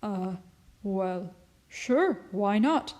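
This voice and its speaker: A woman's voice: medium pitch, animated, drawn-out words, a little mellow. A woman narrator voices a mild-mannered dad in a children's story. He is awkward and bewildered, hesitant as he speaks. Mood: surprised, hesitant. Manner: hesitant, stammering. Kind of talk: audiobook character voice.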